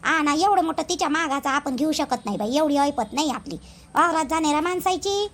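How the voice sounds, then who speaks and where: Woman voicing a shrill, gossipy Vidarbha village housewife. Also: lively intonation, brisk, a bit bright, slight background noise. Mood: complaining. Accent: Varhadi Marathi from Vidarbha. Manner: gossipy, plaintive, repetitive rambling. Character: chatty, aggrieved village housewife complaining about her sister-in-law. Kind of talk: amateur cartoon dialogue in village dialect.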